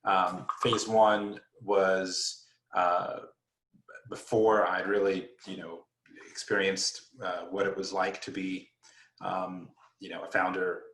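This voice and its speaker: A man speaking as a founder on a panel, soft-spoken and halting, with many hesitations. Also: sits low, flat delivery. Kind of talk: casual panel talk. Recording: echoey room.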